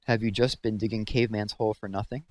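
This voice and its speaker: A man's voice: on the low side, fairly even intonation, fast talker, natural voice. A man voicing a stern, sarcastic female camp warden with cool, pointed questions in a storybook. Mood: cool sarcasm.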